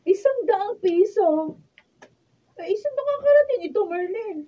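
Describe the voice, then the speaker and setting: A woman's voice: very high voice, animated, measured pace, music in the background. An actress playing a nagging, sarcastic woman who is hard up, in a Filipino radio drama. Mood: exasperated. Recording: dull, lo-fi behind-the-scenes studio recording.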